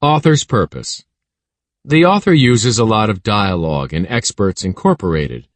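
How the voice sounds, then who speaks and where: A man reading a children's story aloud in an expressive, teacherly way. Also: fairly low pitch, normal speed, no room sound. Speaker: audiobook narrator for children. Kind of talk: scripted read-aloud storytelling.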